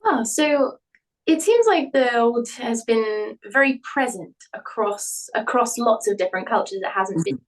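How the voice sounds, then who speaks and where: A woman's voice: a little high, animated. Polite woman host, slightly distant mic. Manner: measured, polite questioning. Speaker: host and author. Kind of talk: interview host questions. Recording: voice a bit far from mic.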